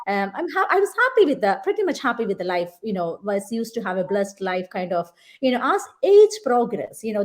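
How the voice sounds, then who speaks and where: Casting: woman in her forties from Kerala, interview guest on a video call, reflective, filler-heavy speech. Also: mid-range voice. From Kerala, India. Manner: earnest, reflective, with many fillers. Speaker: guest, nurse. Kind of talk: casual conversational interview.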